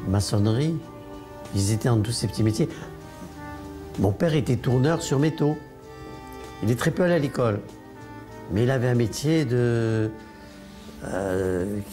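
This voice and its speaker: An older man speaks in a low voice, halting and restarting as he reminisces. Music plays clearly under the interview. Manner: halting, mixing Spanish and French.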